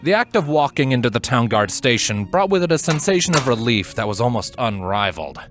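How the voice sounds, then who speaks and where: A man narrating scripted fiction as a storyteller, with an expressive, suspenseful delivery. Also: mid-range voice, fairly quick, music in the background.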